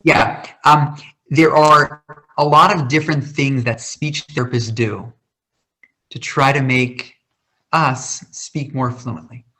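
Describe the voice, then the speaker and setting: A man's voice: medium pitch, slow pace, sharp tone, rough recording. Passionate man who stutters. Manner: passionate, with a stutter and repeated words. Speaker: guest speaker. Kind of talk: personal talk in an online Q&A.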